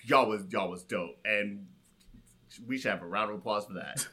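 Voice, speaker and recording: A man's voice: on the low side, moderate pace, sharp tone, slightly rough. A man in a casual, comic film discussion, slangy and sweary, acting out imagined reactions as he goes. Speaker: podcast co-host.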